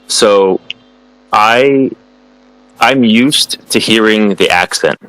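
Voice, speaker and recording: American man, guest on a video call, low-pitched, filler-heavy, halting, dry close sound. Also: fairly bright tone, dry recording, rough recording. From United States. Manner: hesitant, many fillers and restarts. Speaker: guest speaker answering students. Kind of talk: casual online conversation.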